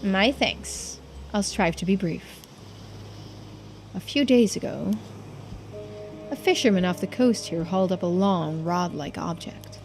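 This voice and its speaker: A woman's voice: fairly low pitch, a little mellow, faint music behind. Woman voicing a formal, diligent investigator's assistant. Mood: formal, serious. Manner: formal, measured, reporting. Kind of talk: voiced visual-novel dialogue.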